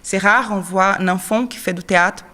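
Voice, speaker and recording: A woman in her thirties from Brazil, a drama teacher and actress, answering interview questions in French with a Brazilian Portuguese accent, often pausing with fillers and searching for words. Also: mid-range voice, fairly even intonation, pushed and bright. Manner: searching non-native French, frequent fillers and quick laughs.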